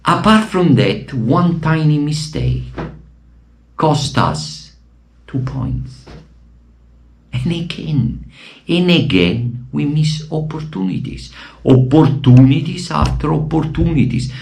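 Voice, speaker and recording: A man from Italy with an Italian accent, giving emotional, impassioned commentary as a football fan vlogger and bursting into shouted appeals, his voice wearing thin. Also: on the high side, sing-song, takes it slowly, creaky, slight background noise. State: losing his voice.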